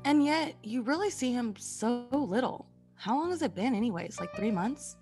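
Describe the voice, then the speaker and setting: A woman playing a gently sceptical, concerned friend and narrator in a classic radio suspense play. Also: a little high, animated, normal speed, soft background music. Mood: curious, gently doubting.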